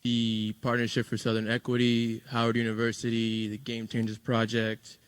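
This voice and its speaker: Man in his twenties, smooth even delivery, dry close mic. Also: medium pitch, moderate pace, no room sound. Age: 20s. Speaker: youth organizer. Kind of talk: self-introduction at a conference.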